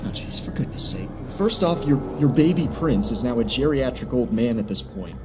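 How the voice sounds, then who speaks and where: A man's voice: medium pitch, lively intonation, normal speed, noisy background. A man giving sarcastic, exasperated commentary as he narrates and critiques a story. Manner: sardonic, exasperated. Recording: music behind the voice.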